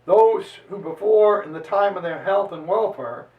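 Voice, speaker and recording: A man with a high voice reads history aloud as a dramatic performance. His delivery is emphatic and declamatory, with deliberate phrasing. He sounds some way from the microphone, in a roomy, echoing space. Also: phone-quality sound. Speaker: reader and lecturer on history. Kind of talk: dramatized reading of a historical chronicle to listeners. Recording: roomy echo, voice distant from microphone.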